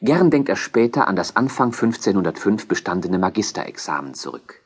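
Low, husky, expressive male narrator. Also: a little fast. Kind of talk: scripted documentary narration.

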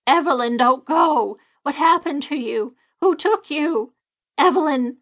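A woman's voice: high-pitched, measured pace, creaky, a bit bright, pitched above the performer's own voice, heavily acted. A woman voicing an older woman who wails and pleads with the dead. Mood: pleading. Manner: wailing, pleading.

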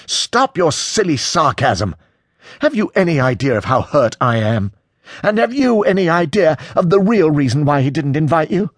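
A man's voice: medium pitch, sing-song, normal speed, breathy edge, no echo, clean studio sound, pitched above the performer's own voice. A man voicing an angry, defensive male character in an audiobook, mocking and aggrieved. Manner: menacing, mocking, indignant.

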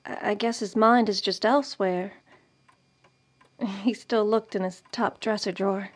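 A woman playing a devoted, wistful officer's wife in a radio drama, gentle and composed. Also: mid-range voice, fairly even intonation, a little slow, natural voice. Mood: fond, resigned.

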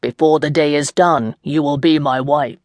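A reader playing a commanding dark lord who speaks calmly and with quiet mockery. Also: normal speed, no room sound, lightly acted. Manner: calm, low and assured.

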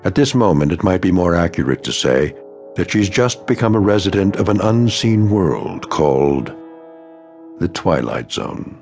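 Deep ominous narrator for eerie radio show, measured, bit of music under. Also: male voice, sing-song, gravelly, darkish tone, exaggerated voice. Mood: ominous, wry. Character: grave, wry anthology narrator of eerie tales. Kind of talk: scripted radio drama narration.

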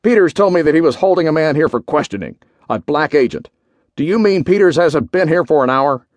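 Man playing a gruff, barking general with animated pitch. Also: moderate pace, no echo, lightly acted. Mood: stern, snapping. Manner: barking, booming, clipped orders. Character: gruff, commanding male general, intelligence chief, short-tempered.

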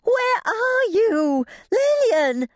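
A woman's voice: expressive, normal speed, no echo, clean studio sound, natural voice. A woman voicing a frantic, proper older lady in an audiobook, breathless, exclaiming and indignant. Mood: panicked. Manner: shrill, breathless wailing and exclaiming.

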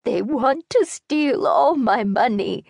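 Woman putting on a shaky high old lady voice, frantic and begging, close dry sound. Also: expressive, takes it slowly, vocal fry, darkish tone, dry recording, heavily acted. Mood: pleading. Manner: high, quavering, desperate pleading. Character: frail confused elderly woman, frantic and pleading.

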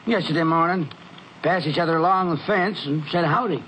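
An actor playing a folksy farmer with a rural Texan accent in a 1950s radio western. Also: male voice, on the high side, brisk, fairly bright tone, close to an everyday voice, poor audio. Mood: earnest. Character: excitable, folksy farmer, proud hound owner. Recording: hissy old radio recording.

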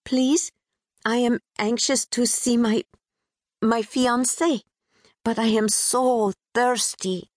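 A woman's voice: fairly high pitch, fairly even intonation, slow, no echo, clean studio sound, voice pushed up. A woman voicing a timid, petite young woman with a Pakistani accent tinged with British, speaking in a hesitant, sing-song, formal way. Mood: pleading. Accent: Pakistani with a British twang.

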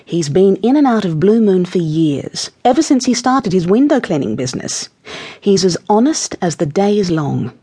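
Kindly storybook granny acted by a female reader: calm, firm, reassuring, slightly rough-edged voice. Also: mid-range voice. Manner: soothing, measured, firm. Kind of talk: children's audiobook character voice.

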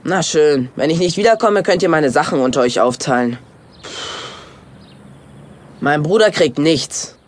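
A school-age boy played by an actor in an audio drama, with a lively, swooping voice. He sounds put-upon, wry and sarcastic, with a reluctant whine. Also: medium pitch, unhurried, long pauses, lightly acted.

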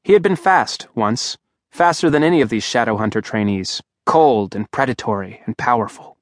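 A man's voice: medium pitch, moderate pace, dry recording. A man reading an audiobook aloud in a dry, wry storytelling manner at a studio microphone. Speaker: audiobook narrator. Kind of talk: scripted narration.

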